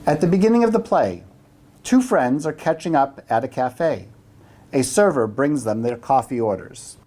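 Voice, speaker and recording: An event host introducing and narrating a play reading, with halting, deliberate phrasing. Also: mid-range voice, lively intonation, mellow. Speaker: event host and stage-direction reader.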